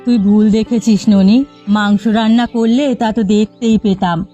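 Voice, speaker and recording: A woman's voice: mid-range voice, fairly even intonation, moderate pace, mellow, dull audio, lightly acted. A woman voicing a bossy, determined village housewife in a cartoon, with music underneath. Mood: doubtful. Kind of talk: Bengali cartoon voice acting.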